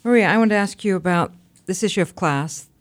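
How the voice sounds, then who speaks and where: A woman hosting a radio show, calmly asking her guest straightforward questions. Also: medium pitch, fairly quick. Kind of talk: interviewing a guest.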